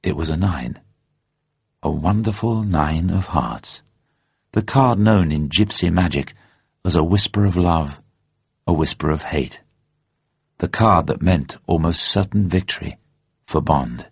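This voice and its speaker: A man reading a story as a narrator in a measured, suspenseful, dramatic way. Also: low-pitched, creaky, slightly rough. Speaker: audiobook narrator. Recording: dull, muffled, roomy radio recording.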